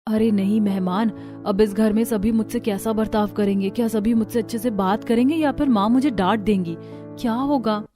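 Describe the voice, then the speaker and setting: A woman's voice: medium pitch, steady tone, moderate pace, music in the background, lightly acted. A woman playing a timid, anxious young bride who speaks meekly and politely in a cartoon story. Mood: worried. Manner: meek, polite, nervous. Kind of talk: Hindi cartoon story character acting.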